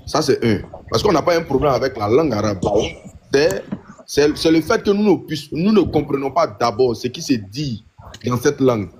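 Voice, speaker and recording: A man's voice: mid-range voice, lively intonation, normal speed, darkish tone, slight background noise. An Ivorian man with an Ivorian accent argues in an impromptu debate, insistent and repeating his phrases for emphasis. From Ivory Coast. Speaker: panel debater in a live online discussion.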